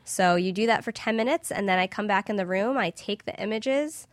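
A woman's voice: mid-range voice, brisk, no echo. A woman guest on a radio interview, a practitioner explaining things in an informal, conversational way. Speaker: guest infrared scanning practitioner.

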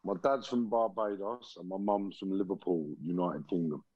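A man, a guest telling his life story slowly and reflectively with frequent pauses, over lo-fi remote call audio. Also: sits low, fairly even intonation, slightly rough, dry recording. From England. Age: 40s. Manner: slow, reflective, many pauses and ums.

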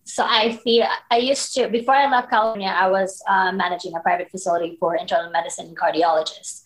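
A woman's voice: mid-range voice, steady tone, fast talker, low-quality recording. Woman in her thirties. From Philippines. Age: 30s. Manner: candid, storytelling, frequent likes and you knows. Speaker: podcast guest. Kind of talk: casual interview conversation.

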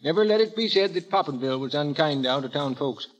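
An actor playing a folksy small-town hotel clerk in a 1930s radio comedy, speaking colloquially. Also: male voice, fairly high pitch, animated, fast talker, dull audio, close to an everyday voice. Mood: hesitant, welcoming.

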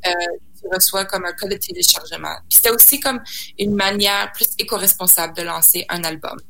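A woman's voice: mid-range voice, a little fast, creaky, sharp tone, slight background noise, low-quality recording. A young woman from Saskatchewan, a singer guest in a casual interview, talking hesitantly with many fillers and restarts and slipping in English words. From Saskatchewan, Canada.

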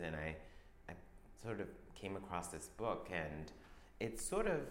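A young man from Taiwan, a fashion designer, talking about his work in a soft, hesitant way full of restarts. His voice sits very low, and the room around him is echoey with a faint hum of ambience. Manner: soft-spoken, halting, many restarts and fillers. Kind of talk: interview answers.

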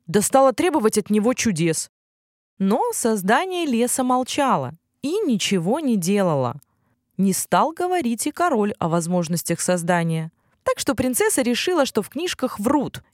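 Very animated woman storyteller. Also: a little high, no echo, clean studio sound. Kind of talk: expressive children's fairy-tale narration.

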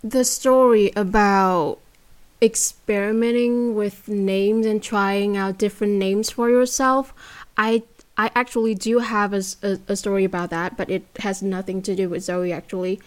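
A woman's voice: mid-range voice, steady tone, moderate pace. A young woman from Vietnam with a Vietnamese accent, talking as a guest in a casual interview, hesitant with many fillers and restarts.

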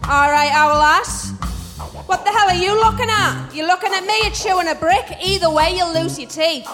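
A woman's voice: extremely high pitch, normal speed, pitched above the performer's own voice. A woman voicing a jeering, indignant working-class neighborhood woman with a regional English accent, taunting and confrontational. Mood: threatening. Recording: echoey hall, music.

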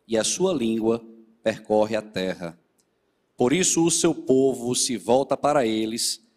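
A man, a presbyter leading a church service, a little nasal and hoarse from a cold, speaking earnestly to the congregation. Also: mid-range voice, darkish tone, in a large hall. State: still a bit hoarse after the flu. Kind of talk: sermon with scripture reading.

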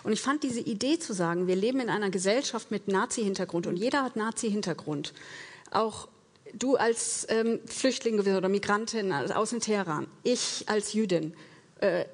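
A woman on a discussion panel who asks a fellow panelist questions in a measured, reflective way. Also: medium pitch. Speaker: panel guest. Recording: reverberant hall.

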